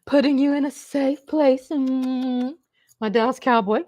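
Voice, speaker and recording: Slow-talking playful Southern woman. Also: sits high, fairly even intonation, darkish tone. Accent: Texas. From the American South. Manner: playful, teasing, chatty. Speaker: live stream host. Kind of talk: casual live chat.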